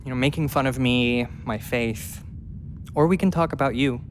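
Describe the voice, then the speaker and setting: A young man playing a calm, patient priest in a space radio play, speaking in a measured, probing way. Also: medium pitch, a little hiss or hum, lightly acted. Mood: patient, serene.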